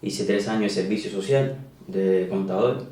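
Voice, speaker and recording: A Cuban man in his thirties with a Cuban Spanish accent, talking casually as an interview guest and filling pauses with "eh". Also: deep voice, dark tone, far from the mic. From Cuba. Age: 30s. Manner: conversational, many "eh" fillers and restarts. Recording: roomy, echoey, voice away from mic.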